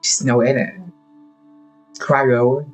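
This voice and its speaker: A man livestreaming a game, making casual, low-key running remarks as he plays. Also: little pitch movement, very slow, faint music behind, low-quality recording. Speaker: game streamer. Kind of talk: casual livestream gameplay chat.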